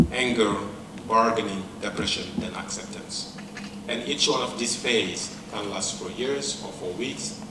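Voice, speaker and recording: A middle-aged man from Senegal, whose first language is not English, gives a personal lecture in a large hall, speaking in a measured, reflective way with many pauses. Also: on the low side, recorded from a distance. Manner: reflective, measured, pausing often. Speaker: professor giving a lecture. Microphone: handheld mic, far camera. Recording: echoey hall mic with room noise.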